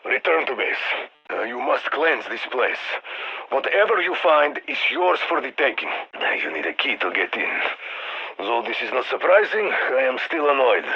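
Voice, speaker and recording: A man's voice: mid-range voice, big pitch swings, normal speed, creaky, breathy edge, dull audio, full character voice. A man playing a stern, dry Russian military handler in a video game, giving clipped orders over radio in a Russian accent. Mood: dry, gruff. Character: stern, dry Russian soldier commanding a strike team. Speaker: mission handler.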